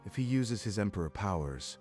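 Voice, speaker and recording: A man voices a composed male headmaster in a manga dub. His voice is very deep, and he speaks in a measured, authoritative way. Faint music plays behind him. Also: lively intonation, a little mellow, lightly acted.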